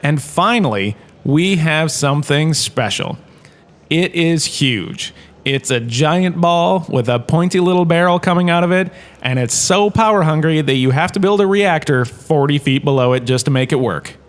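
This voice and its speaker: A man's voice: a little high, lively intonation, moderate pace, lightly acted. A man playing a washed-up showbiz star turned university lecturer, giving a chatty, jokey scripted lecture in a comedy audio drama. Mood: amused showmanship. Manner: chatty, jokey, showman-like salesman patter.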